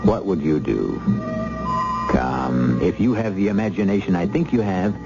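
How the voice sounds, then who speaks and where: An actor playing an ominous storyteller who hosts a radio mystery, deliberate, dramatic and insinuating. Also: male voice, deep voice, expressive. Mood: ominous invitation. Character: ominous male storyteller drawing the listener in. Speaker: narrator and host of the drama. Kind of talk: theatrical old-time radio mystery narration. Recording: muffled old radio recording under music.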